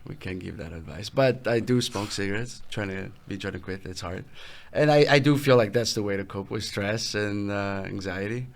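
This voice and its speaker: Italian-accented man with a gravelly low voice. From Italy. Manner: relaxed, halting, trails off and restarts. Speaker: guest musician. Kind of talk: casual interview.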